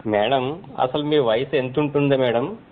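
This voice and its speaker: A man's voice: mid-range voice, a little slow. A man, a reporter speaking deferentially in a phone interview. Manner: deferential, repeatedly saying madam. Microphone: phone line. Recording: noisy, tinny phone call recording.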